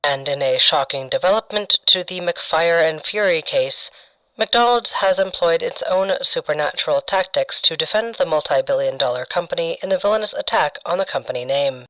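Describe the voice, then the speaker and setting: A woman's voice: sits low, animated, moderate pace, a bit bright, dry recording. A woman playing a formal, dramatic television news reporter delivering a bulletin in an audio drama. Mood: grave, sensational.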